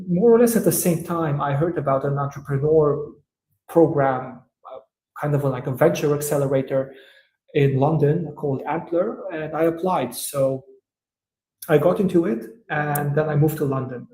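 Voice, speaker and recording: A man from Romania answers interview questions in a slightly high voice, hesitating and filling gaps with "uh." The sound is roomy, with a noticeable echo around him. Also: mellow. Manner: thoughtful, hesitant, many "uh" pauses. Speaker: guest, tech entrepreneur.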